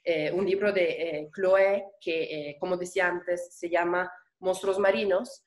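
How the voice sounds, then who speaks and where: A woman's voice: lowish voice, fairly even intonation, measured pace. A woman moderating an online panel, introducing the speakers with a hesitant delivery. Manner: hesitant, with fillers.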